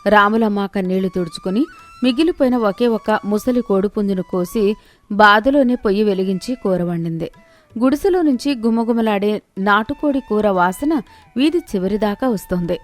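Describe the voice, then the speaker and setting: Casting: female narrator for animated moral stories, mid-pitched, scripted storytelling over music bed. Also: normal speed.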